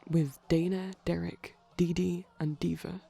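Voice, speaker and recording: Soft-spoken, husky, mellow ASMR rambler. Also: medium pitch, flat delivery, measured pace, soft background music. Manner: rambling, enthusiastic storytelling with hesitations. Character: shy, nerdy, affectionate vampire boyfriend. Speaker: ASMR roleplay performer.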